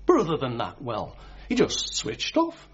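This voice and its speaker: An actor playing an odd, morbid coroner who relishes death, in a radio comedy drama. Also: male voice, mid-range voice, wildly swooping pitch, husky, lo-fi sound, exaggerated voice. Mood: confiding, relishing. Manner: pedantic, deliberate, eerily relishing. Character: odd, morbid male coroner, ghoulishly delighted by the dead.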